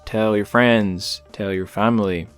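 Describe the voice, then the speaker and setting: A man's voice: lowish voice, fairly even intonation, unhurried. A man hosting a podcast, talking casually and informally with a wry, self-deprecating touch. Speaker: podcast host. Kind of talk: casual podcast talk with plugs.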